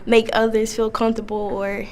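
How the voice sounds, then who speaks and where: A woman with a Southern accent, calm and matter-of-fact. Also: on the high side, moderate pace.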